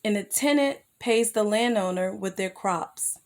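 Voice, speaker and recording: Slow-paced woman reading to children. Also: mid-range voice, slight background noise. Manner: addresses young listeners with simple questions. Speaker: children's storyteller. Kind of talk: read-aloud children's picture book narration with asides.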